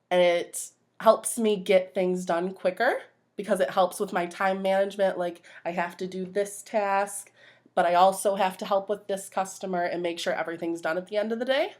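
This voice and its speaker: A young woman answering interview questions as a job applicant, earnest and a little nervous in manner. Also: fairly low pitch. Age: young adult.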